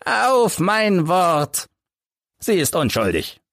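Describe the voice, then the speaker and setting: A man's voice: sits high, very animated, slow pace, a bit bright, no room sound, studio quality, pitched above the performer's own voice, full character voice. A man voicing an embittered old hunchbacked ex-soldier telling his story in an agitated, emphatic way for an audiobook. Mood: bitter, defiant.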